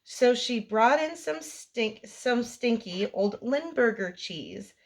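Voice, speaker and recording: High-pitched, unhurried woman reading storytime. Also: animated. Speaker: storytime host reading a picture book aloud to children. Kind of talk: read-aloud storytelling for young children.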